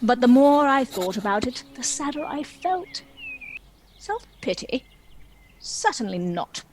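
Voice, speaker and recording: Woman as world-weary dragon, comic stage drama, slow delivery, highish voice, indignant, wry, theatrical. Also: big pitch swings, slight background noise. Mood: wistful, confiding. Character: proud, lonely, world-weary vegan dragon. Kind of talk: dramatic monologue in a comic play.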